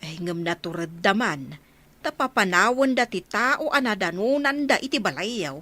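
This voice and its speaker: A woman's voice: medium pitch, expressive, normal speed, sharp tone. A woman playing a frank, sympathetic confidante in a radio drama.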